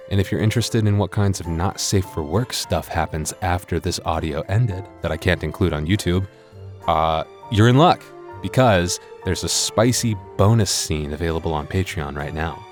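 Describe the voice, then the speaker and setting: A man hosting his audio channel, chatting casually to listeners with wry, self-deprecating humor. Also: deep voice. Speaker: ASMR audio creator and channel host. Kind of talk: casual rambling outro chat to listeners. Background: music in the background.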